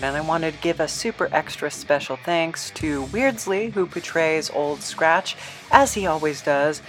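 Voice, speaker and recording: A woman with a lowish voice hosts her podcast over music. She talks casually and informally, rambling in a chatty, self-deprecating way. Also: fairly bright tone. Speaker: podcast host and creator.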